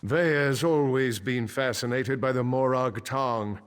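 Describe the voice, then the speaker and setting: A man's voice: mid-range voice, close to an everyday voice. Man playing a stern elven nobleman, echoing hall. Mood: grave concern. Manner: guarded, formal, measured. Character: stern proud dark elf nobleman, worried father. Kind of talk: video game dialogue acting. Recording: long hall reverb, faint music behind.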